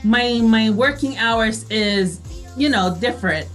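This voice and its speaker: High-voiced giggly woman chatting on radio. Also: slow pace. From Canada, moved there at ten. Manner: giggly, animated. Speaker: radio show co-host. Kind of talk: casual chat. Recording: music bed under the voice.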